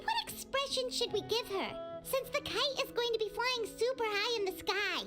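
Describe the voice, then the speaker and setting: A woman voicing a tiny, childlike, excitable and boastful floating companion in a video game, with a squeaky voice and exclamatory delivery. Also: moderate pace, music in the background. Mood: boastful, playful. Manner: exclamatory, refers to herself in third person. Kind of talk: animated video game voice acting.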